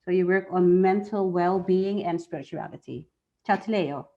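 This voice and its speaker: A woman's voice: on the low side, normal speed, highs cut off. A woman hosting a live event, introducing speakers in a casual, spoken way. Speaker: host introducing team members.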